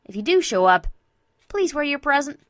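A woman voicing a brash, playful young mare DJ from a cartoon, in a loud, teasing and exuberant manner. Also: sits high, very animated, moderate pace, no room sound, clean studio sound, voice pushed up. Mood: apologetic, annoyed. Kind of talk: fan fiction character voice.

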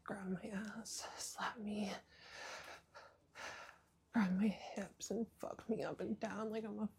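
A woman whispering an intimate roleplay monologue close to the mic, breathless and pleading, with soft moans between words. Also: mid-range voice, flat delivery, takes it slowly, creaky, a little hiss or hum. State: aroused and breathless. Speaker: audio roleplay performer.

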